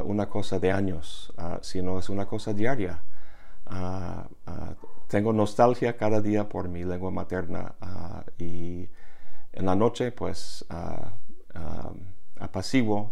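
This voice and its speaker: A man whose first language is English, giving a reflective monologue in a halting way, with many pauses and fillers. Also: low-pitched, gravelly, mellow. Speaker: vlogger.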